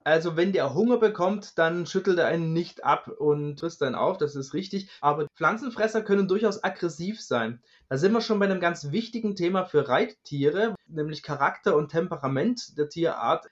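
Higher-pitched male expert, slightly dull sound. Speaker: dinosaur researcher, guest expert. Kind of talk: explanatory interview answers.

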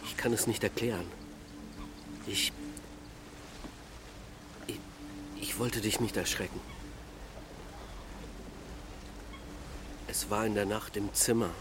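An actor playing a restless, haunted middle-aged father in a naturalistic radio drama, speaking haltingly and reflectively in a hushed way. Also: fairly low pitch, fairly even intonation, noisy background, close to an everyday voice. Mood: uneasy, confessing.

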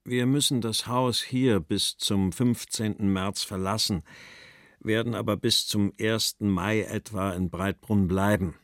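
A man's voice: on the low side, fairly even intonation, a little slow, no room sound, studio quality. An actor playing a post-war German writer, reading out his letters and verse in a composed literary style.

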